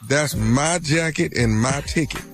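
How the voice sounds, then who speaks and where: A man's voice: a little high, measured pace. A man co-hosting a radio show, trading blunt, mock-indignant comedic banter. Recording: music playing behind the voice. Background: background music.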